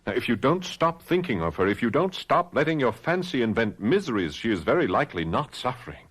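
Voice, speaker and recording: An actor playing a commanding gentleman doctor and mesmerist in radio drama, deliberate and authoritative. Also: mid-range voice, very animated, slightly rough. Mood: urgent, stern. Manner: deliberate, authoritative, hypnotic insistence. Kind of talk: 1970s radio drama acting.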